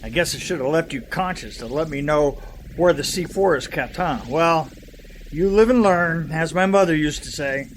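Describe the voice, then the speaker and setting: An actor playing a sardonic old saboteur in an audio drama, dry and joking. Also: male voice, fairly high pitch, normal speed, close to an everyday voice. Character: wry old male saboteur calling himself Father Time. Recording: heavy background noise.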